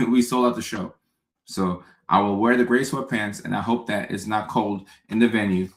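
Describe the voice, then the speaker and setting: Upbeat comedian from Ghana, low-ish voice, live-stream chat in an echoey room. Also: male voice, steady tone, normal speed. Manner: upbeat, greets viewers by name, repeats phrases. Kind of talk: casual live-stream chat reading viewer comments.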